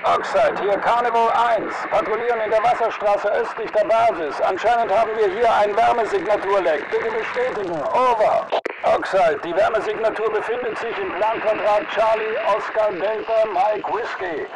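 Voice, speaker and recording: An actor playing a patrolling soldier in a video game, reporting in clipped procedural phrases over radio. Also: male voice, sits high, normal speed. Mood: urgent, procedural. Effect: military radio filter. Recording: very noisy military radio, outdoor ambience.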